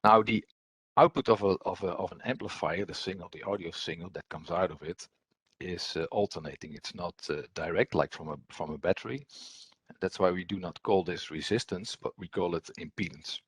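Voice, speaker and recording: A man presenting a technical webinar as an engineer, with a husky voice and hesitant, repetitive phrasing. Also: deep voice, brisk, a bit bright, dry recording.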